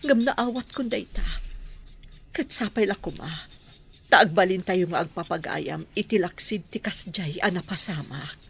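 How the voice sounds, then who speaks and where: A woman's voice: on the low side, unhurried, vocal fry, fairly bright tone, breathy edge, full character voice. A woman playing an earnest, remorseful middle-aged woman making peace in a radio drama, with an Ilocano Filipino accent. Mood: remorseful, pleading.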